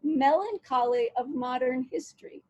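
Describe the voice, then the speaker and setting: High-voiced woman hosting an interview. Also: moderate pace, a little mellow, dry recording, highs cut off. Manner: hesitant, reads quotes aloud, many fillers. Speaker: interview host. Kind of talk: conversational video interview.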